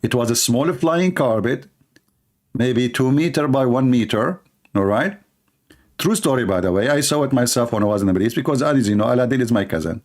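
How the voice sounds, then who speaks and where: A man hosts a live stream in a sarcastic, mocking tone. His voice sits at an ordinary mid pitch, and he slips into funny voices to mimic the people he talks about. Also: lively intonation, a little fast, a little mellow. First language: Arabic.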